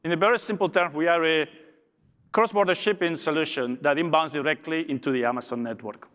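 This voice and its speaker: A man's voice: fairly high pitch, brisk, a bit bright. A man from Argentina with an Argentine Spanish accent, giving an upbeat conference presentation as a logistics director. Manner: upbeat, with small restarts. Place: large conference hall. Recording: long reverb of a big hall.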